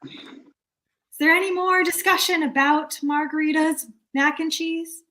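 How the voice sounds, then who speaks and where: A woman's voice: high voice, fairly even intonation, measured pace, bright, forceful tone, poor audio. A woman playing an exasperated, bossy chair of a small-town theater meeting, stern and flustered, in a staged reading. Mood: controlled impatience.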